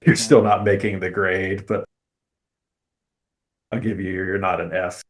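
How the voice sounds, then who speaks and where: A man talking casually as a podcast host, giving his opinions in an emphatic, deliberate way. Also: low voice, level tone.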